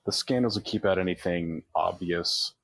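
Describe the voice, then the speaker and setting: Deep husky man at the game table. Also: moderate pace, fairly bright tone, dry recording. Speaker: player.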